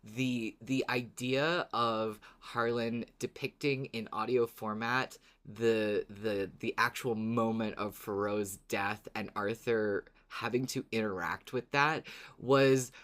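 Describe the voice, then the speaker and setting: A podcast co-host chatting casually, in an informal and somewhat halting way. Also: mid-range voice, steady tone, fairly bright tone. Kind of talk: casual fan podcast chat.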